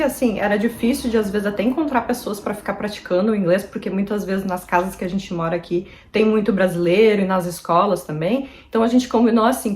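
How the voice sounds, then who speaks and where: A woman's voice: medium pitch, a little mellow. A young woman from Brazil chatting earnestly to her viewers in a casual advice vlog. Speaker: vlogger.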